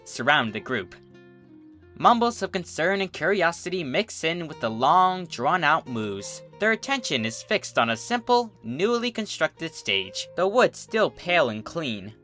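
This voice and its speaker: Male narrator, bright and expressive, for theatrical fantasy storytelling with deliberate delivery. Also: a little high. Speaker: fan fiction reader, narrator. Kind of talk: dramatic scripted story narration.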